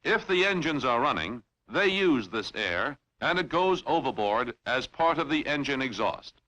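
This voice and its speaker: A man reading scripted instructional narration in a formal, measured, clearly enunciated way, as in a training film. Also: mid-range voice, very animated, bright, forceful tone, poor audio.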